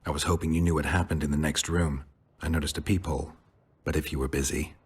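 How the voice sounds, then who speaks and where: Gruff game monster slayer, super deep gravelly voice, says little, flat and terse. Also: male voice, quick pace, exaggerated voice. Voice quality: gravelly, husky. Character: gruff stoic male monster hunter, terse and commanding.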